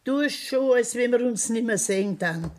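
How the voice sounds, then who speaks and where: A woman's voice: a little high, lively intonation, moderate pace, natural voice. An older-sounding actress with a Bavarian accent playing an outspoken, scolding farm grandmother in a radio drama. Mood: worried, reproachful. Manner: scolding, questioning. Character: outspoken old widowed farm grandmother, indignant. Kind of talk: German dialect radio drama acting.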